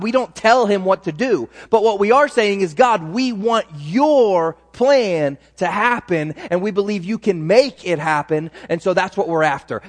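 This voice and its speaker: A man's voice: high-pitched, in a large hall. A man preaching a sermon as pastor, talking in a conversational way with frequent restarts and asides.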